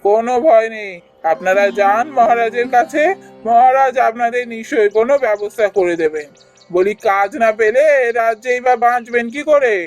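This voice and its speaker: An actor as a measured, reassuring fairy-tale king. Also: mid-range voice, natural voice. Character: kindly, just young king of a fairy-tale realm. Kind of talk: Bengali fairy-tale cartoon dubbing. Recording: music under the voice.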